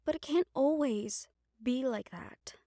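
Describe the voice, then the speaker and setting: A woman playing a gentle, caring young banshee in an intimate audio roleplay, speaking softly and soothingly, with some phrases trailing into a whisper. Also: high-pitched, measured pace, no echo, studio quality. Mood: gentle, reassuring. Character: gentle young female banshee from Puerto Rico, caring crush. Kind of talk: intimate audio roleplay acting, one-sided conversation.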